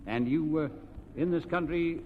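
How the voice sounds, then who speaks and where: A man's voice: fairly high pitch, measured pace. A man hosting an old-time radio quiz, jovial and teasing, often repeating answers back to his contestants. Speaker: quiz show host. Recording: dull, noisy old radio recording, hall reverb.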